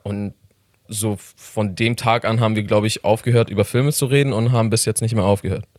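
A man with a slight Iranian accent, a filmmaker guest in a casual interview who talks haltingly, with many fillers and frequent laughs. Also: on the low side, fairly even intonation, a little mellow, dry recording, clean studio sound. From Iran.

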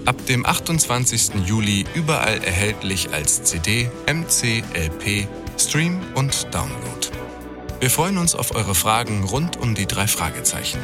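A male announcer reads scripted credits in measured phrases. His voice sits low but moves with lively intonation, over clearly audible music.

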